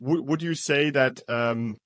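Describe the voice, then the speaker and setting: A man's voice: mid-range voice, slow pace, fairly bright tone, dry recording, studio quality. A man interviewing a business partner, asking long, chatty questions full of "you know" and "um". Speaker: interviewer for recruitment agency.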